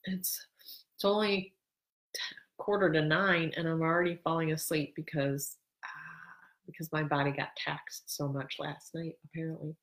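A middle-aged woman with a notably low voice hosts her own podcast, chatting casually and rambling with plenty of restarts. Her nose is stuffy and congested, which thickens her speech. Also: flat delivery, unhurried, a bit bright. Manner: rambling, self-correcting, laughs now and then. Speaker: podcast host. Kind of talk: casual solo podcast monologue.

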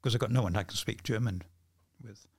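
Low-voiced older New man, halting. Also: breathy edge, dry recording, clean studio sound. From New Zealand. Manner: halting, repeats words. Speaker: podcast guest, magician and author. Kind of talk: casual conversation.

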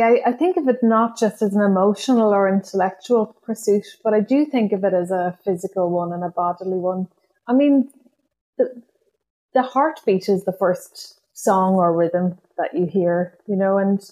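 Irish woman, native Irish speaker, poet guest; reflective, halting delivery with frequent restarts. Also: mid-range voice, dark tone, low-quality recording. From Ireland. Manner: thoughtful, hesitant, many restarts and fillers. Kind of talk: reflective interview conversation.

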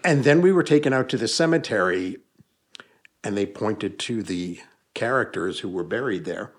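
Husky, chatty middle-aged man telling stories. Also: fairly low pitch, lively intonation, normal speed. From Hudson Valley, New York. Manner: chatty, good-humored, with frequent restarts. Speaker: paranormal investigator and author. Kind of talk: casual interview storytelling. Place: basement room with bookshelves.

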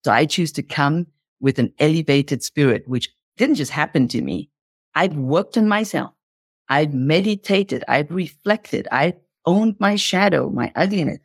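A woman's voice: low voice, lively intonation, a little slow, husky, dry recording. Emphatic woman in a dry, close recording. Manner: emphatic, repeats phrases for emphasis. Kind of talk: impassioned motivational explanation in a conversation.

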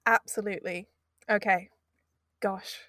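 A woman's voice: medium pitch, steady tone, unhurried, sharp tone, no room sound, studio quality. A woman speaking as an interview guest, effusive and emphatic, often wandering off into asides as she talks. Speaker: guest, audio drama producer. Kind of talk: enthusiastic interview conversation.

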